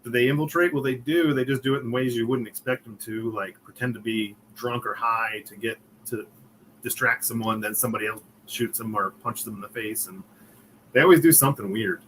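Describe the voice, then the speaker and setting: A man's voice: medium pitch, brisk, a bit bright, slight background noise, poor audio. A man from Connecticut, a comic creator, chatting casually in an interview and acting out quotes as he tells stories. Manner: storytelling, chuckling, acting out quotes.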